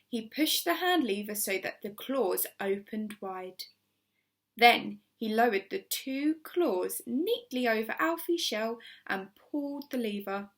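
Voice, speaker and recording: A woman narrates a children's storybook as a teacher would for a class. Her voice is somewhat high and rises and falls widely. She phrases things in a measured, dramatic way, stressing important words. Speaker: teacher reading aloud to schoolchildren.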